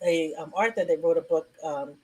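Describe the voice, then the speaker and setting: A woman hosting a casual interview, enthusiastic and often pausing with ums while she searches for words. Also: low-pitched, fairly even intonation, husky, rough recording. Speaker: interview host.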